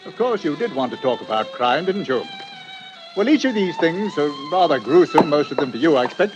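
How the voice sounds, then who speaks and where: An actor playing a proud, chatty chief inspector with a taste for the gruesome, in a vintage radio suspense drama. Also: male voice, on the high side, very animated, a little fast, over music. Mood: genial, boastful. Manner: chatty, hesitant, relishing gruesome detail. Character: proud, genial British police chief inspector with macabre hobby. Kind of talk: 1940s radio suspense acting. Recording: muffled old-time radio recording.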